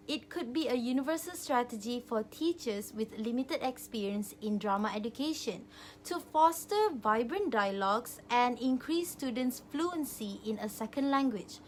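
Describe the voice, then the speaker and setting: A woman student presenter reading a scripted informational narration in a formal, measured way. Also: a little high, fairly bright tone.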